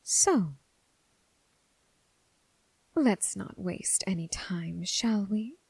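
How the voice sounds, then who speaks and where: A woman playing a seductive, menacing crossroads demon who toys with her prey, in a breathy, whispered ASMR roleplay with slow, teasing, formal phrasing. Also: mid-range voice, expressive, darkish tone, dry recording, exaggerated voice, low-quality recording. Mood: coolly amused.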